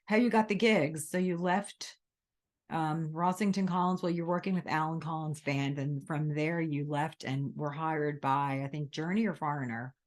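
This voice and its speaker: Lady co-host, low voice, laid-back questions, laughs along a lot. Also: steady tone, moderate pace. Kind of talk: casual interview chat.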